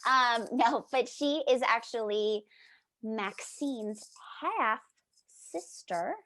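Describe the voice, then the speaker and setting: A woman with a fairly high voice, recorded very dry. She is an actress in informal panel talk and takes her time, speaking very slowly. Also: bright, forceful tone, dry recording. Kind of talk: casual panel talk about a role.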